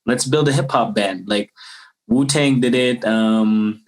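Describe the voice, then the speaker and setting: A man's voice: medium pitch, slow, far from the mic. A man in his twenties from the Philippines, a rapper talking as an interview guest, rambling with many fillers and restarts. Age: 20s. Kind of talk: casual interview.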